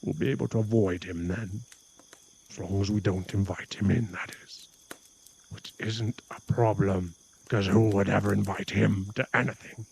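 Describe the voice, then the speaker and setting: A man's voice: lowish voice, fairly even intonation, normal speed, gravelly, a little mellow, a little hiss or hum. A man voicing a cocky, possessive male werewolf bully in an ASMR boyfriend roleplay, teasing and taunting in a smug drawl. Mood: smug mockery.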